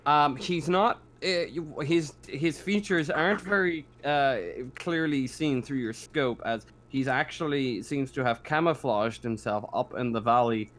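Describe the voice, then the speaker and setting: A man acting as game master, narrating and ruling on a tabletop roleplaying game in a casual, halting way that repeats phrases. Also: mid-range voice, a bit bright, slight background noise.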